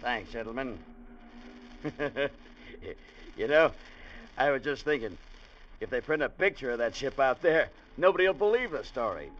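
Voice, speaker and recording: A man plays a casual, joking ship's captain in an old radio play. His voice is mid-pitched and wry. The recording sounds dull and muffled. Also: lively intonation, moderate pace, pauses often, fairly bright tone, lightly acted. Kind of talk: 1950s radio drama acting.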